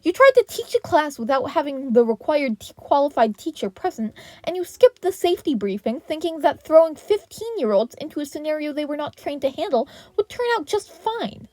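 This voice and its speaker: A woman voicing an indignant male teacher in a story reading, sharp and commanding as he lectures a colleague. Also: high voice, very animated, fairly quick, few pauses, dry recording, pitched above the performer's own voice. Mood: stern lecturing.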